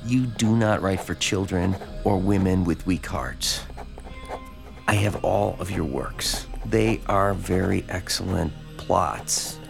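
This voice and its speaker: A lonely, abandoned small-town man in an audio drama, obsessed with horror fiction. His low voice is gravelly and very slow. He speaks earnestly and haltingly, with an awkward familiarity. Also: faint music behind, full character voice. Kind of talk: dramatized letter read as monologue.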